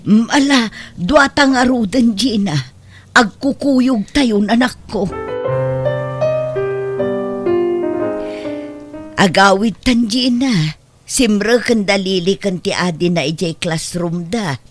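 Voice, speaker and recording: A woman's voice: medium pitch, a little slow, faint music behind, close to an everyday voice. A woman with an Ilocano accent playing a worn, protective grandmother in an audio drama, pleading and sighing with emphasis. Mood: firm, coaxing. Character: worn, protective Ilocano grandmother soothing her troubled daughter.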